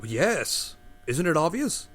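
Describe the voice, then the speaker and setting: A bumbling talk-radio host, flustered and lively, a faint mains hum behind. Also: male voice, fairly high pitch, slow pace, heavily acted. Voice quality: rambling, excitable, flustered. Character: bumbling male conspiracy-theorist radio host.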